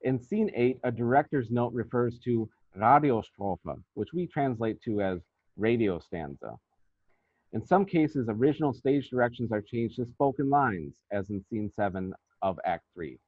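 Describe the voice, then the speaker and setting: A man presenting academic research as a scripted lecture, reading formally and deliberately. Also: mid-range voice, animated, no echo. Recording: thin, phone-like band-limited audio.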